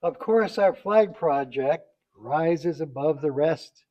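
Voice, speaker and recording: A man's voice: on the high side, expressive, slow pace, muffled recording. A man reading a rhyming tribute poem aloud to a group at a banquet, stumbling now and then over his words. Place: banquet event, speaking to a group. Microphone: event microphone.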